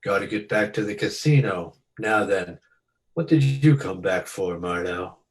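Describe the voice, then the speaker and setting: A man's voice: fairly low pitch, measured pace. A man playing a self-assured, menacing casino boss in a radio detective play, terse and commanding. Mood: coolly threatening. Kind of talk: old-time radio detective drama acting.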